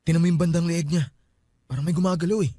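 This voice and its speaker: A man's voice: on the high side, fairly even intonation, fast talker, dark tone, dry recording, close to an everyday voice. A man acting a superstitious, grieving young friend at a wake in a Filipino radio drama, with a Filipino accent and colloquial speech. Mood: uneasy. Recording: music underneath.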